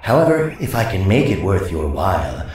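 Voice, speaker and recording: An actor playing a sly, theatrical male monster shopkeeper in a video game, talking like a smooth salesman. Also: low voice, big pitch swings, moderate pace. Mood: sly, welcoming. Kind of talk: video game character dialogue. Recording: echoey, distant game audio, faint music.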